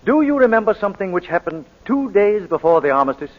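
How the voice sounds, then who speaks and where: A courteous but insistent courtroom barrister in an old radio drama. The man's voice is a little high and very animated, with measured, formal phrasing. It rings in a long reverb on a dull recording. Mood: probing, formal. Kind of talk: 1940s radio drama acting.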